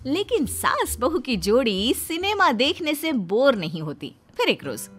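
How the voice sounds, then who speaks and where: A woman's voice: sits high, sing-song, unhurried, fairly bright tone. A woman narrating a scripted comic story, chatting directly to her listeners. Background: music.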